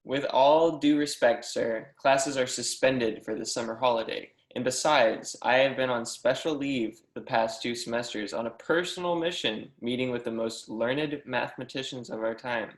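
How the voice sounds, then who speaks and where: A man's voice: medium pitch, moderate pace, few pauses, natural voice. A man playing an eager, deferential young mathematics professor in a stage play reading, earnest, effusive and formally polite. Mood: reverent, insistent. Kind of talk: readers theatre performance of a history play. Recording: echoey room.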